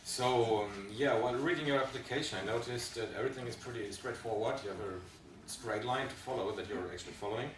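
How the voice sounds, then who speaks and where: A man's voice: mid-range voice, fast talker, hardly any pauses, fairly bright tone. Hesitant male interviewer, echoey room. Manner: polite, hesitant, many fillers. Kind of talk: practice job interview questions. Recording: echoey room, distant mic, background noise.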